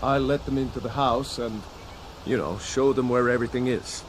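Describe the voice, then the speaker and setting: Male actor: battle-tired rebel soldier, fantasy game, earnest and loyal, mid pitch, loud outdoor ambience with insects. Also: fairly even intonation, moderate pace, slight background noise, close to an everyday voice, low-quality recording. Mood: reassuring. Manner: weary, earnest storytelling. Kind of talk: fantasy video game acting.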